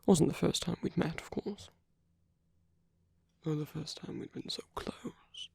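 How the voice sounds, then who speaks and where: An actor playing a suave, sly, seductive villain in a romantic ASMR audio roleplay, speaking softly with a theatrical, teasing manner. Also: mid-range voice, creaky, slightly rough, no room sound, clean studio sound, full character voice. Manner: slow, flirtatious, teasing, drifting into whispers. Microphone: close ASMR microphone.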